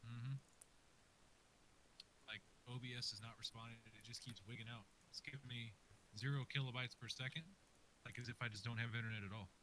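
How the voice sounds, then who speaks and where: A man's voice: mid-range voice, fairly even intonation, brisk, long pauses, bright, forceful tone, a little hiss or hum, poor audio. A man on a gaming live stream, muttering to himself as he works through his setup. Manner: mutters to himself while fixing tech. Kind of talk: casual live-stream talk.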